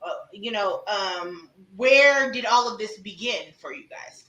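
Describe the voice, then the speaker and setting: A woman hosting a casual interview show, lively and emphatic, calling out to her listeners. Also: medium pitch, a little slow, echoey room, rough recording. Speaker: podcast host, sexologist.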